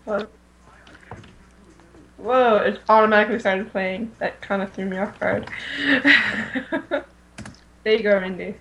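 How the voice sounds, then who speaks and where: A woman's voice: mid-range voice, takes it slowly, long pauses, fairly bright tone. A woman chatting casually as a panelist on a podcast. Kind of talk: casual chat. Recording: noticeable background noise.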